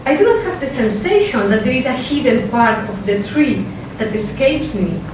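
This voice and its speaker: A woman with a strong Spanish accent gives an academic talk in a lecture room, measured and careful, with hesitant fillers when she leaves her script. Her voice sits a little high and comes from a distance. The room is very echoey and the recording carries clear noise. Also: expressive. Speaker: philosopher giving a workshop talk. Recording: echoey lecture hall, mic from a distance, noisy.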